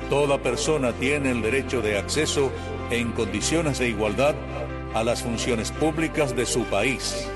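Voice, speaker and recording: A man's voice: mid-range voice, unhurried. Man reading formal text over music. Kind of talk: scripted reading of legal text.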